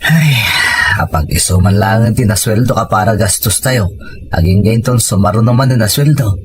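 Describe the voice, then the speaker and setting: An actor playing a caring, gentle husband and father in an Ilocano radio drama. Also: on the low side, moderate pace, noisy background, lightly acted. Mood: concerned. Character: caring Filipino husband and father, plain-living.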